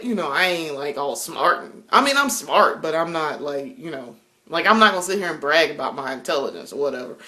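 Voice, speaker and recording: A woman's voice: on the low side, fairly bright tone. Chatty Southern woman with a drawl. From South Carolina. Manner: chatty, laughs often, swears freely, lots of ums. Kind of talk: casual vlog chat while cooking. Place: home kitchen.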